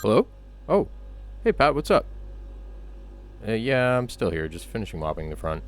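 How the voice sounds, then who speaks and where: A man's voice: lowish voice, very animated, normal speed. A man playing an easygoing pizzeria employee talking casually on a call, in a comedy audio drama. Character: easygoing male pizzeria employee taking a phone order.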